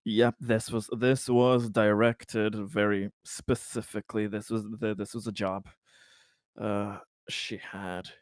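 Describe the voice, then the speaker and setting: A man's voice: lowish voice, flat delivery, takes it slowly, clear, resonant tone, dry recording, clean studio sound. A man taking part in a tabletop role-playing game, chatting and narrating his character's moves casually in stop-start phrases.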